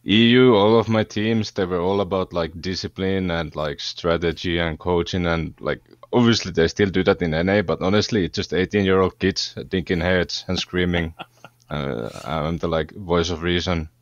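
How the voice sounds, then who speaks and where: A young man from Finland, a college esports player, answering interview questions in a relaxed, frank way on a headset over a video call. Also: low voice, normal speed, dry recording, dull audio. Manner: relaxed, frank, uses "like" often. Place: small room at home.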